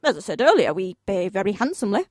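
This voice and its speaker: A woman's voice: medium pitch, big pitch swings, fast, husky, no echo, studio quality. A woman playing a polite, breezily demanding office boss in a radio play, speaking in a formal, officious way. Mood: courteous, persuasive.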